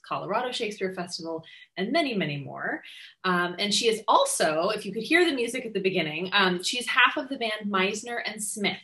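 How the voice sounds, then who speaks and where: A woman's voice: lowish voice, fairly bright tone. A woman in her thirties giving an informal, effusive introduction over a video call. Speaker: casting director introducing a performer. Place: home room, indoors. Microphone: video call computer microphone.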